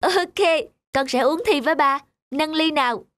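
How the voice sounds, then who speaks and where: A woman voicing a headstrong, carefree young daughter in an animated moral tale, girlish and expressive. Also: high-pitched, unhurried. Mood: delighted.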